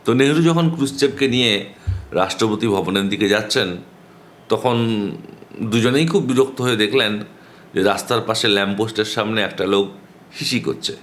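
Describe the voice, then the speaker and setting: Low-voiced man telling humorous anecdotes. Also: slight background noise. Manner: conversational, pauses and hesitations, animated quoting. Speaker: storyteller. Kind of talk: humorous anecdote storytelling, acting out dialogue.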